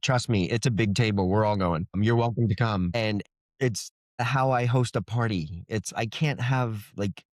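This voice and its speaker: Lowish-voiced man, casual and wry. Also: normal speed, no room sound, clean studio sound. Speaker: fantasy author being interviewed. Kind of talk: casual, explanatory conversation.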